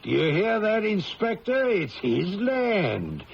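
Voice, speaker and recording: An actor playing a breezy, teasing male bush pilot who helps the police, with a gravelly voice, in a 1970s radio drama. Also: sits high, wildly swooping pitch, slow pace, highs cut off, full character voice. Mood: mocking. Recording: hissy old radio broadcast.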